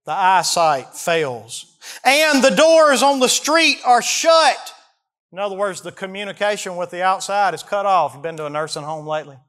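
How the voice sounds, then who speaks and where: A man's voice: sits high, animated, normal speed, a bit bright. A man in his early forties with a Southern US accent preaches an expository sermon, folksy and emphatic, putting questions to his listeners. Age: early 40s. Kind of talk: expository sermon, reading verses and explaining them.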